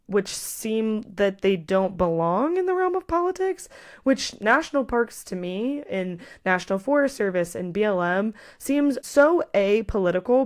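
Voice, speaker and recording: A woman hosting a podcast, asking long, thoughtful, rambling questions in a casual interview. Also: mid-range voice. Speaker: podcast host.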